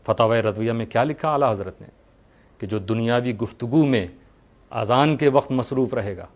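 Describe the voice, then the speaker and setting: Preacher, a man exhorting earnestly. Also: medium pitch. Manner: earnest, exhorting, with rhetorical questions and deliberate pauses. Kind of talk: religious sermon addressed to followers.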